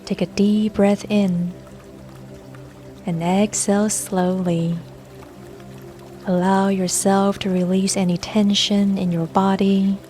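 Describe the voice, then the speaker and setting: Female meditation guide, mid-pitched, very unhurried and gentle, scripted calming read over soft music and water. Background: soft music and babbling brook sounds.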